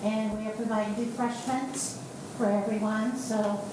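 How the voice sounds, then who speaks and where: A woman's voice: fairly high pitch, fairly even intonation, normal speed. A woman representing a veterans auxiliary, making a cheerful announcement with playful asides at a council meeting. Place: city council chamber. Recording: echoey chamber, distant mic, background noise.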